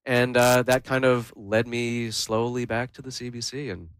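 A man's voice: mid-range voice, steady tone, normal speed, smooth, dry recording. Enthusiastic young male reporter. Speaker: news reporter. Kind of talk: radio interview.

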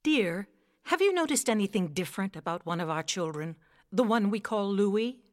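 A woman playing a gentle, concerned mother swan in a children's story, speaking in a refined, tactful way. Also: mid-range voice, lively intonation, normal speed, no room sound, clean studio sound, close to an everyday voice. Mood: tender concern.

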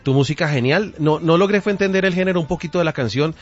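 A man's voice: fairly high pitch, fast. Chatty joking male radio host. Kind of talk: radio interview. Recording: slightly muffled radio studio.

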